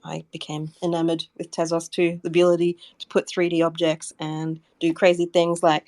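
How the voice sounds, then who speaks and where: Low voiced woman, fry in it, casual interview chat, very dry sound. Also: completely flat delivery, normal speed, a little mellow, no echo. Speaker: community member being interviewed.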